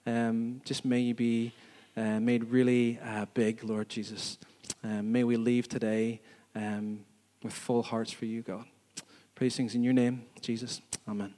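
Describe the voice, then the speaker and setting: A church leader making announcements and leading a spoken prayer, earnest and halting, with frequent pauses. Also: male voice, medium pitch, little pitch movement, dark tone. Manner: earnest, halting, pausing often. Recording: long reverb in a church hall.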